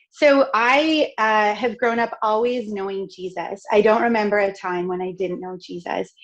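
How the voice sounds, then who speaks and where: A middle-aged woman from Canada with a raspy, crackly voice, talking in an outgoing, expressive way as a podcast guest. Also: medium pitch, dull audio. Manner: outgoing, expressive, laughs often. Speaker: podcast guest, speaker and author. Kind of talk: upbeat conversational interview.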